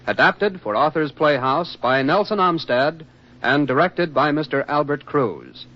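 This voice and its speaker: A dull vintage radio recording. An American male announcer reads scripted credits in a fairly high, lively voice. His delivery is formal and enunciated in the 1940s manner.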